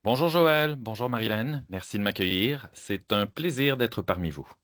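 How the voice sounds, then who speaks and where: Low-voiced Quebec man in interview. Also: animated, normal speed, fairly bright tone, dry recording, clean studio sound. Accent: Quebec French. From Quebec, Canada. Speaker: journalist, interview guest. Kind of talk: conversational interview.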